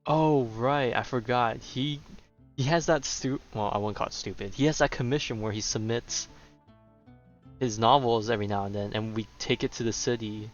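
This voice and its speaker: A man's voice: mid-range voice, normal speed, muffled recording, soft background music. A man giving casual, wry gameplay commentary in an offhand conversational way. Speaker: gamer, streamer.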